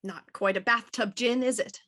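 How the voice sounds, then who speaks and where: A shrewd female inspector in a period radio mystery. Her voice is bright and forward, fairly high, and she talks with dry, wry confidence, never flustered. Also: steady tone, normal speed. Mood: wry, knowing. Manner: dry, wry, self-assured. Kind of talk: audio drama acting, Victorian murder mystery radio program.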